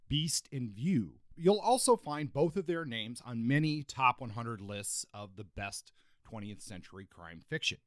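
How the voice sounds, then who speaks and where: A man with a medium-pitched voice talks as a podcast co-host. He delivers book reviews and discussion, recorded dry with very little room sound. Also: no echo.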